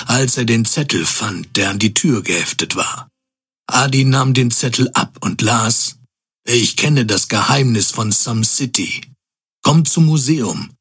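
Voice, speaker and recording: A man as the narrator of a comedy audio drama, delivering scripted narration in a measured, grandiose, tongue-in-cheek way. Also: medium pitch, breathy edge, exaggerated voice.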